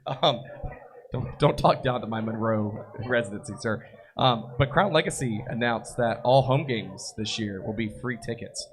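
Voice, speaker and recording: A man with a raspy voice hosting a live soccer show, chatting casually, joking and reading viewer comments. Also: medium pitch, normal speed. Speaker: podcast host. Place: busy brewery. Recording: noisy live bar recording. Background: crowd chatter.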